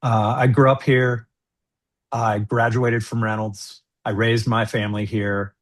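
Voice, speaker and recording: A middle-aged man giving an earnest, measured candidate statement on a video call, with some fillers. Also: lowish voice, flat delivery. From United States. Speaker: school board candidate.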